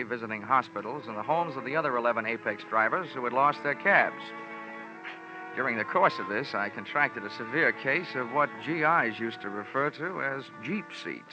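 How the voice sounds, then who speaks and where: An actor playing a wisecracking, hard-boiled insurance investigator in a clipped, wry old-time radio style. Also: male voice, medium pitch, natural voice. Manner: wry, clipped, slangy delivery. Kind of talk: old-time radio detective acting with first-person narration. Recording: dull old radio recording, music behind.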